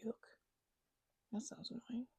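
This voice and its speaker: A woman playing a chatty, supportive, slightly weary housemate in an intimate ASMR roleplay, rambling and hesitant in a soft, playful, conversational way. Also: takes it slowly, no echo, close to an everyday voice. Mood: soft, tired, tender. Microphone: close microphone, dry.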